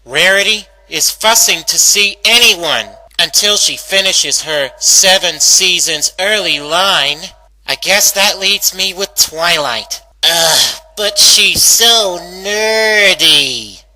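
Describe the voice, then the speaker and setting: A man's voice: sits high, pushed and bright, pitched above the performer's own voice, full character voice, low-quality recording. A man voicing a brash, whiny female cartoon pegasus pony who talks to herself in exasperated slang.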